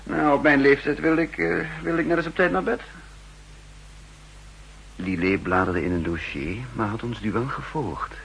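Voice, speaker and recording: An actor playing an older, wry and condescending spy chief who also narrates in the first person, in 1960s radio drama style. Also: male voice, medium pitch, unhurried, gravelly, long pauses, a bit bright. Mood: wry, brisk. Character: aging spy chief and cryptographer, wry, secretly scheming. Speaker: narrator and lead character. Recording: humming, noisy 1960s radio recording.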